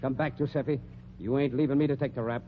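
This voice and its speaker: Old radio mystery: man acting tough, shady carny, medium pitch, slangy, short-fused, dull humming recording. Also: steady tone, fast talker, a little hiss or hum, natural voice. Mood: angry, threatening. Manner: slangy tough-guy talk. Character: tough, hot-tempered, shady male carnival hustler.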